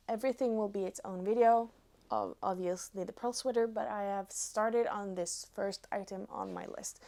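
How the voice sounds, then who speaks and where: A woman in her twenties from Norway with a Norwegian accent, chatting casually to viewers as a knitting vlogger, pausing between short phrases. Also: mid-range voice, a little mellow. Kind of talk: casual chat to camera.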